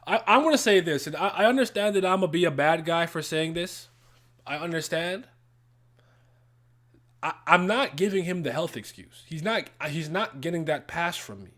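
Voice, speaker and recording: A man's voice: on the high side, animated, normal speed, a bit bright. A man whose first language is French, casually answering chat comments on a livestream with blunt, heated opinions and repeated phrases. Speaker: YouTuber streaming to chat.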